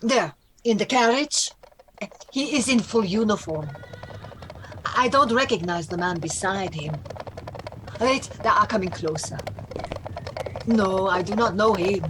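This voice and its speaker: A woman plays a watchful governess in a period radio play. Her mid-pitched voice is formal, precise and earnest, with clear noise in the background. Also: a little slow, long pauses, lightly acted. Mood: intent, watchful.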